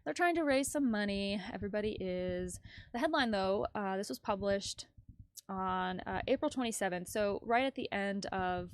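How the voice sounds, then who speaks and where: A woman's voice: medium pitch, normal speed, clear, resonant tone, dry recording. A woman hosting a casual livestream news commentary, chatty and rambling as she thinks aloud. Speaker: livestream host.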